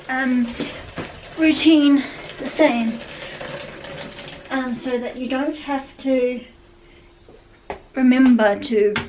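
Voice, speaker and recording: A young woman vlogging to a handheld camera, chatting casually and rambling with many ums and small laughs, first out in a windy town and then at home. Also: fairly high pitch. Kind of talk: casual vlog chat. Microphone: handheld camera at arm's length.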